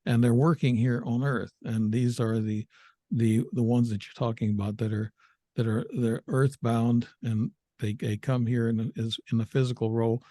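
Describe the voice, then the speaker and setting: A man's voice: medium pitch, steady tone, normal speed, warm tone, no echo, studio quality. A man in his seventies hosting an interview, talking hesitantly and agreeably with frequent restarts. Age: 70s. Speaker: host. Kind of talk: conversational interview.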